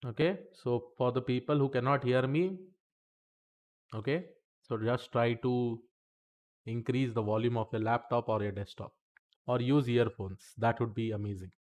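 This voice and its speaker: A man's voice: medium pitch, steady tone, normal speed, a little mellow. A man teaching statistics in a live-streamed lesson, chatty and talking to the chat between points, saying okay often.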